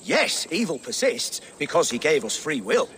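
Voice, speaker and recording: An actor playing an earnest, scholarly monk in a video game, hesitant, pedantic and easily flustered. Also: male voice, a little high, big pitch swings, moderate pace, a bit bright, slight background noise. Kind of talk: video game cutscene acting.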